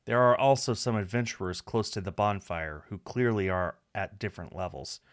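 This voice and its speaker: A man with a fairly low voice reads story narration aloud as a game streamer. He is recorded indoors, with game music playing behind him. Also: normal speed. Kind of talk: reading visual novel narration aloud.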